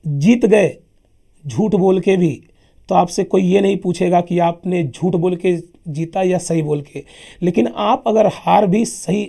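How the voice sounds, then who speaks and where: A man with a sore throat teaching a lively history lesson for exams, emphatic and chatty, often calling his listeners sir. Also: high-pitched. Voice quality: husky, gravelly. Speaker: exam coaching teacher. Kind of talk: lively classroom history lecture.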